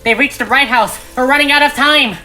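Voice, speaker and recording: A man's voice: extremely high pitch, brisk, sharp tone, voice pushed up, full character voice, low-quality recording. A man voicing a brave, earnest and determined young female pony heroine in a cartoon audio drama. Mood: alarmed. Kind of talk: animated-series audio drama. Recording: music behind the voice.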